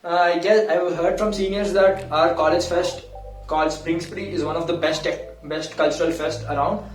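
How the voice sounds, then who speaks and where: High-pitched young South Indian man, echoey. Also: fairly even intonation, normal speed. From Hyderabad, India. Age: young adult. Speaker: college student. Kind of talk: casual testimonial about campus life. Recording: echoey room, distant mic, music behind.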